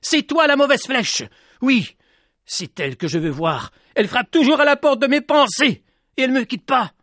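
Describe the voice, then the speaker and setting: A man voicing Petit Jean, an impulsive young peasant, in a high, strained voice while reading his storybook aloud. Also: big pitch swings, normal speed, no room sound, studio quality. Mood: furious. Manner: high strained pleading and shouting.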